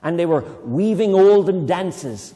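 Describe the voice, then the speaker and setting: An Irish man reading a poem aloud to children, reciting slowly and dramatically and breaking off into playful, chatty explanations. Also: sits high, expressive, dark tone. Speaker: poetry reader leading children. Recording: long reverb in a hall.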